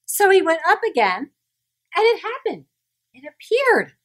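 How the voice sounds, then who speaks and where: A woman with a high, hugely varied voice reads a picture book to small children. She takes her time, plays things up, and stops to ask her listeners questions. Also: big pitch swings, fairly bright tone. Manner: playful, exaggerated, pausing to ask listeners questions. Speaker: children's storyteller. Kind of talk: animated read-aloud of a picture book to young children.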